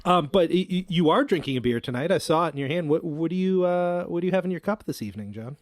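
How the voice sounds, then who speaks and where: A man co-hosting a podcast over a video call, friendly and a little halting. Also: fairly high pitch, lively intonation, no echo, clean studio sound. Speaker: podcast co-host. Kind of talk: casual podcast chat.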